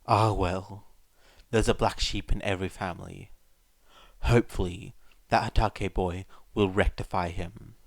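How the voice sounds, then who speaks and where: A man voicing a weary, proud old retired clan leader in a slow, wry, reflective way for a fan fiction reading. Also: deep voice, steady tone, creaky, dry recording, heavily acted. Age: elderly.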